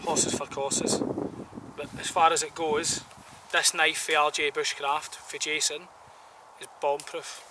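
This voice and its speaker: A man's voice: on the high side, little pitch movement, measured pace. A man, giving a casual, rambling gear review outdoors in colloquial language. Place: outdoors in a woodland camp. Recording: distant outdoor mic, some background noise.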